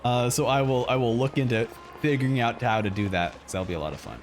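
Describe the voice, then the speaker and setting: A man's voice: medium pitch, fast talker, faint music behind. A man streaming a game, giving casual, rambling live reactions and commentary.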